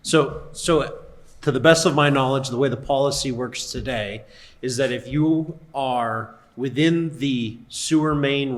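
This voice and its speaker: Guy explaining how the policy works at a town meeting, middle pitch, a few restarts.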